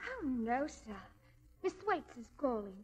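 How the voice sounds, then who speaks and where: An actress playing a pert young housemaid, coy yet deferential, in a 1940s radio drama. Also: female voice, high-pitched, sing-song, unhurried, darkish tone, slightly rough, full character voice. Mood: dutiful.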